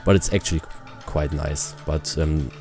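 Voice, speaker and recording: A man giving a casual product review, explaining things in simple, halting phrases. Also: a bass voice, steady tone, a little mellow, soft background music.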